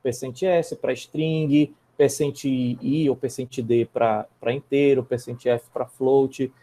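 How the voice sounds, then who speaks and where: A man's voice: mid-range voice, fairly even intonation, slow pace, mellow. Brazilian man teaching coding over a call. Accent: Brazilian Portuguese. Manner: explains step by step, often adds checking tags like "tá?" and "né?"; repeats and restarts words while typing. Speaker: programming instructor. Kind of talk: live online coding lesson.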